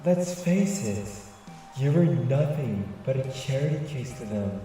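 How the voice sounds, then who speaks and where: Storyteller doing cruel inner voice, cold, mocking, quiet, hollow timbre. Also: medium pitch, animated, measured pace, very echoey, long reverb tail, recorded from a distance, faint music behind, lightly acted, rough recording. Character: cruel taunting inner voice of a girl.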